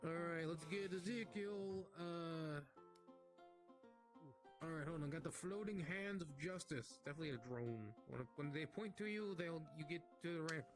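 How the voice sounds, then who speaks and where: A man's voice: fairly high pitch, moderate pace. A man acting as game master, announcing a live roleplay event, with music playing behind him.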